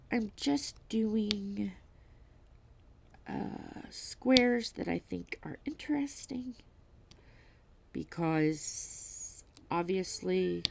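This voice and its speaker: A woman vlogging at home while diamond painting, reading aloud and chatting in a self-deprecating way, with a slightly stuffy nose and frequent soft whispers. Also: medium pitch, vocal fry, noisy background. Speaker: crafting vlogger.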